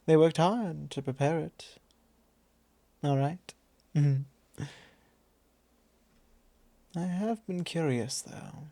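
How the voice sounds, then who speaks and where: A man voicing a suave, possessive vampire lord in an intimate ASMR roleplay, measured, courtly and teasing. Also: on the high side, gravelly, mellow, no room sound, heavily acted. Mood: smooth, curious.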